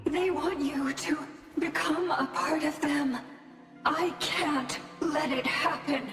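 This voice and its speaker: A woman's voice: high-pitched, steady tone, takes it slowly, vocal fry, heavily acted. A woman voicing a desperate, haunted, ghostly young woman in a video game, in a heavily echoing recording. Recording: heavy reverb under loud music.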